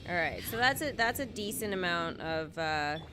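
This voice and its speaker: A woman's voice: on the low side, expressive, moderate pace. A woman chatting casually to viewers as she gives play-by-play commentary on a game, with informal exclamatory asides. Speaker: live game streamer. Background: video game music.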